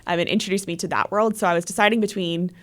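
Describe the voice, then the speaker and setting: A woman's voice: medium pitch, fairly even intonation, fast, fairly bright tone. A young woman from Texas, a guest chatting casually in an interview, friendly and agreeable, with lots of "like" and "um". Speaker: guest, leadership program coordinator.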